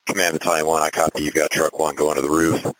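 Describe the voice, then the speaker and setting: A man's voice: very deep voice, little pitch movement, fast, a bit bright, no echo, low-quality recording. A man working as a fire incident commander, giving clipped, composed orders over a two-way radio. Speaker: fire battalion chief acting as incident commander. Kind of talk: procedural emergency radio dispatch traffic.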